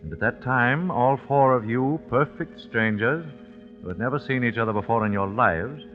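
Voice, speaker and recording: An actor playing a secretive, wealthy father and dinner host in an old radio drama, speaking in a measured, formal way. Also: mid-range voice, natural voice. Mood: grave, storytelling. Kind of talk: 1940s radio drama acting. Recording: muffled old radio recording, faint music.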